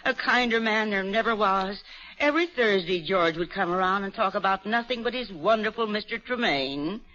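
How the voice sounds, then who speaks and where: Sly greedy ma figure, sharp bright voice, swoops around, old dull radio recording. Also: female voice, medium pitch, animated, normal speed. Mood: folksy, sweet. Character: scheming, greedy woman crook posing as kindly old mother. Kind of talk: 1940s radio mystery acting.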